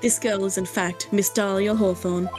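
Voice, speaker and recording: A woman's voice: mid-range voice, fairly even intonation, normal speed, natural voice. Woman voicing an earnest young rookie defense attorney, music behind. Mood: determined. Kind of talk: live dub of courtroom video game.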